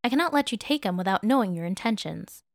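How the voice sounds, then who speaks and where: A woman voicing a protective middle-aged female mentor in an audio story, firm, measured and caring. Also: mid-range voice, expressive, gravelly, no room sound, clean studio sound. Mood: sharp, guarded.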